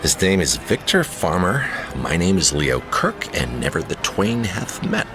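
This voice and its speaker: Low, creaky and hugely expressive, this is an uneasy, wry man in a radio play. He sounds suspicious and hesitant, with music behind him. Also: a little slow, heavily acted. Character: troubled, wry male jazz pianist, uneasy and suspicious. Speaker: actor in a radio drama. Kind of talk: naturalistic radio drama acting.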